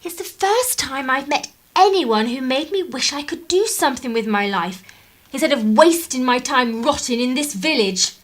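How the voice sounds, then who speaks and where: A woman acting a headstrong young English daughter in a radio play. Her voice is high and swoops expressively. She is assertive, idealistic and quick to turn sardonic. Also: animated. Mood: frank, assured. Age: young adult. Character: defiant, idealistic eighteen-year-old English daughter, sharp-tongued.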